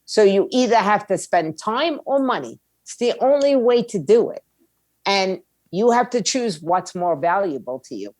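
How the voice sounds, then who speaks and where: Female interview guest, mid-pitched, blunt and emphatic, conversational, recorded dry. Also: expressive, moderate pace, darkish tone, no room sound. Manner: blunt, opinionated. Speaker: guest, music label owner and attorney.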